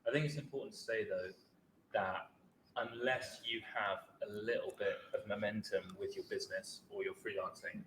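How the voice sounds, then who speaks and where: Male podcast host, chatty, lowish voice, roomy sound, faint noise. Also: fairly even intonation, moderate pace, fairly bright tone. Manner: chatty, friendly questioning. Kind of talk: casual podcast interview.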